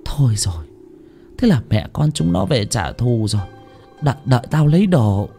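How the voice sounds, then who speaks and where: A man voicing a gruff older village woman who practices folk exorcism, curt and urgent. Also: high-pitched, huge pitch swings, normal speed, darkish tone, soft background music, pitched above the performer's own voice. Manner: gruff, curt, whispering at times.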